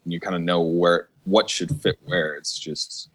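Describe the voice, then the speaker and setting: A man's voice: deep voice, fairly even intonation, moderate pace. A man from the United States, a musician guest in a casual interview, speaking earnestly and emphatically with some swearing.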